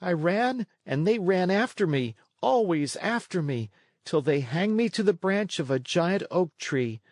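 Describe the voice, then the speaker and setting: Man voicing an eager high-pitched wooden puppet boy. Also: lively intonation, unhurried, a little mellow, no room sound, clean studio sound. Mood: breathless, rueful. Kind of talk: animated storybook read-along.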